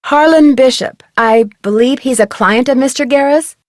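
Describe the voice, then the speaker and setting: A woman's voice: high-pitched, normal speed, dry recording, clean studio sound, natural voice. A woman voicing a young female amateur detective in a game, polite, curious and earnest. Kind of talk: scripted game dialogue and narration.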